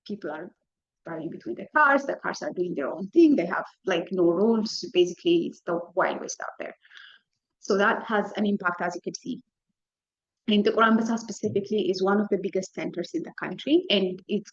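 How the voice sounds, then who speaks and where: A woman physician gives a medical slide lecture in an informal, conversational way. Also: medium pitch. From Ethiopia. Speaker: physician presenting a case lecture. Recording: dull, lo-fi lecture audio.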